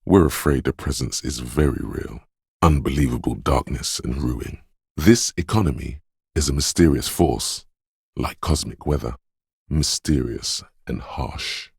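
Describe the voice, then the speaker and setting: A man voicing a brooding, superstitious inner voice of intuition in a video game, speaking in a hushed, portentous, theatrical way. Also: unhurried, no echo, studio quality, full character voice. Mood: brooding, conspiratorial. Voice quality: very deep, gravelly, husky. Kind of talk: dramatic video game narration.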